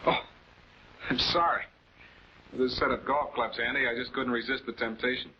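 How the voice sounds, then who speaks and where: A man's voice: medium pitch, steady tone, natural voice. A man playing a composed, affable public prosecutor in a late-1940s television crime drama, speaking in a polite, conversational way. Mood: genial, explaining. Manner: conversational, polite, measured questioning. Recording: dull, noisy 1940s film soundtrack.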